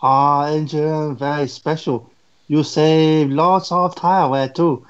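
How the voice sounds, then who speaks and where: A man's voice: a little high, fairly even intonation, takes it slowly, smooth, dull audio. A man doing a clipped engine clerk. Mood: chirpy. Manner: broken English, clipped.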